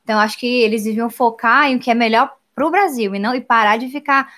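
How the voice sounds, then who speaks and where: A woman in a casual political chat who stops often to find her words and keeps checking that she is understood. Her voice is on the higher side for a woman. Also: fast talker, a bit bright. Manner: hesitant, searches for words, ends lines with "entendeu?". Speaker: host's wife, co-presenter.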